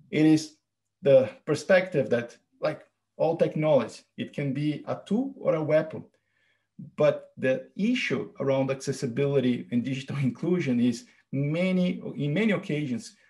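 A man with a fairly high voice gives an earnest tech talk. He explains step by step, pausing on fillers as he goes. He sounds middle-aged and eager to teach. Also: darkish tone. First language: Portuguese. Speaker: presenter.

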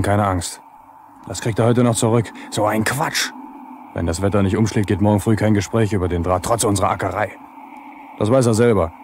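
A grumbling young male technician played by an actor with a fairly low voice. He is defiant and colloquial, in a 1970s radio play with faint noise. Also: darkish tone, close to an everyday voice. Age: young adult. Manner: colloquial, disgruntled.

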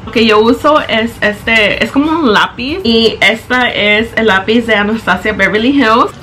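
A young woman talks through a makeup tutorial into the camera in her small bedroom. Her voice sits somewhat high and sounds bright and clear as she chats through each step, now and then slipping in an English word. Soft music plays quietly underneath. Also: a little slow, rough recording. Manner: chatty, explaining step by step; English words slip into her Spanish. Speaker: beauty vlogger. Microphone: camera in front at close range.

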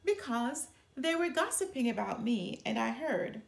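A woman's voice: a little high, very animated, unhurried, gravelly, full character voice. A woman voicing a young, sensitive sister bear cub in an earnest, emphatic storybook reading. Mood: embarrassed. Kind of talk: children's storybook read-aloud. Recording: roomy indoor echo.

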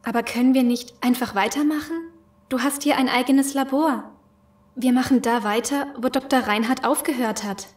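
A woman's voice: high voice, steady tone, moderate pace, close to an everyday voice. A woman playing a naive, uneasy survivor in a German audio drama. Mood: urging. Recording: echoing reverberant hall.

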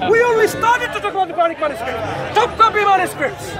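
A young man argues insistently in an outdoor park, repeating himself to hold the floor. His voice is very high, and a loud crowd surrounds him. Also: sing-song, fast. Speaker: street debater. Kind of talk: heated outdoor debate.

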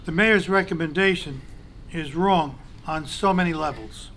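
A man's voice: on the high side, fairly bright tone, slight background noise. A man reading a prepared, pointed statement at a council meeting in a large chamber, into a desk microphone. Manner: measured, pointed criticism, formal. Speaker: council president.

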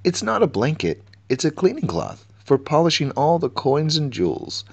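A man's voice: fairly high pitch, wildly swooping pitch, moderate pace, vocal fry, voice pushed up, full character voice. A man voicing a helpful, eager monkey who keeps the royal treasure in a children's storybook. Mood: helpful, correcting.

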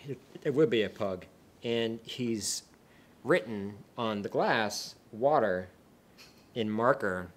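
Male co-host, thirties, casual tasting banter, very lively pitch. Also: slow. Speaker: beer review show co-host. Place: small indoor set with curtain backdrop, seated.

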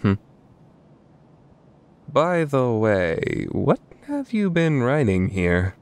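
A man's voice: mid-range voice, big pitch swings, extremely slow, a little mellow, clear, resonant tone, heavily acted. A man playing an earnest, easily flustered teenage student council president in an anime fandub radio play. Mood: curious, unsure.

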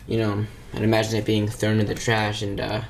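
A man giving a casual, unscripted hobbyist show-and-tell, with halting delivery and frequent pauses. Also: fairly low pitch, monotone. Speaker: hobbyist vlogger showing vintage speakers. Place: small indoor room at a workbench. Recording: noisy camera mic, audible background noise.

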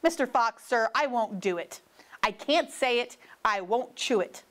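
A woman's voice: fairly high pitch, animated, measured pace, a bit bright. A woman voicing a polite, flustered male storybook creature who pleads and complains in exasperation. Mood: weary protest.

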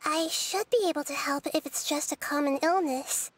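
Soft girlish high voice, woman as wise little nature goddess, gentle, measured, thoughtful, video game dialogue. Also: very noisy, close to an everyday voice. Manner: measured, explanatory.

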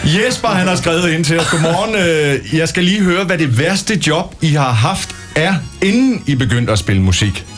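A man's voice: a little high, expressive, few pauses, fairly bright tone, soft background music. A man hosting a casual morning radio interview from a small studio, talking into a studio microphone. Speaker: radio host.

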